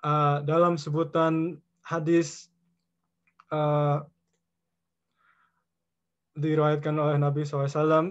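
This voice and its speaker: A man from California giving an informal Islamic lecture as a guest speaker, mixing in English words and pausing often mid-sentence in short phrases. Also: completely flat delivery. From California, United States. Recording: dull, muffled low-fidelity audio.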